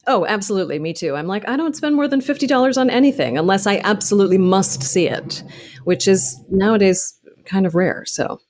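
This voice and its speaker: A woman's voice: mid-range voice, animated, a little fast, darkish tone. A woman in her thirties speaking as an interview guest, explaining things in an articulate, detailed and passionate way. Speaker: interview guest, actor and author. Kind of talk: conversational interview, storytelling.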